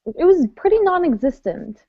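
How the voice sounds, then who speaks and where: A woman's voice: high voice, very animated, moderate pace, vocal fry. A woman in her early twenties hosting a casual online book club, chatting and reading viewer comments aloud. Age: 20s. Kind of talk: casual livestream chat. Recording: dry dull lo-fi webcam audio.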